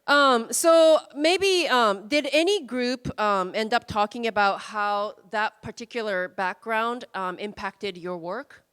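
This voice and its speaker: A woman's voice: fairly high pitch, slow pace, few pauses, a bit bright, smooth. A woman casually leading a group icebreaker in a large hall, chatty with many fillers. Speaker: workshop facilitator. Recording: hall reverb from a room mic.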